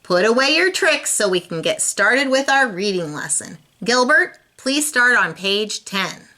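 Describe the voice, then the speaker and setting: Woman as a bright, animated storybook schoolteacher. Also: on the high side, unhurried, pitched above the performer's own voice, heavily acted. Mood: firm. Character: female schoolteacher, orderly, instructive and good-humored.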